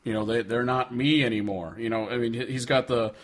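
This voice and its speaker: A man's voice: mid-range voice, fairly even intonation, fairly quick. A man co-hosting a music podcast, talking casually in a frank, sweary, rambling manner. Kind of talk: casual chat about music.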